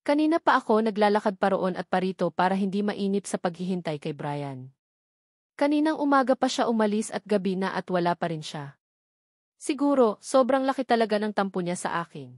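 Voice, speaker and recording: A woman's voice: medium pitch, expressive, brisk, no room sound, studio quality, lightly acted. Meek, sad young Filipina read by a woman. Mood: sad, anxious. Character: sad, shy, apologetic young woman student in love.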